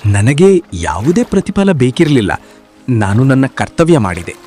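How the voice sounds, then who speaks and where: Cartoon hero, male actor: honest, humble fisherman, mellow mid-range voice, expressive and sincere. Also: mid-range voice, unhurried, faint music behind. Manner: modest, sincere. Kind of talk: cartoon moral story acting.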